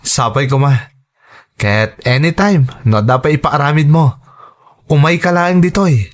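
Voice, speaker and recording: A man's voice: on the high side, measured pace, close to an everyday voice. A man plays a humble young painter in a radio drama. He is a devoted, earnest son with an Ilocano Filipino accent, modest in the way he speaks. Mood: modest, grateful.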